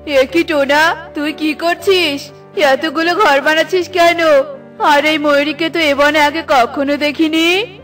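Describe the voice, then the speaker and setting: A greedy male crow from a children's moral cartoon, acted by a woman. The voice sits high and sounds mocking and cocky. Soft music runs behind it. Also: steady tone, unhurried.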